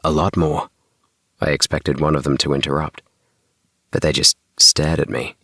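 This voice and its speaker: A man with a very deep, creaky voice narrating a children's bedtime audiobook in the first person. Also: little pitch movement, normal speed, pushed and bright, no room sound, clean studio sound. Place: small home recording booth. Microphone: close mic in small DIY recording booth.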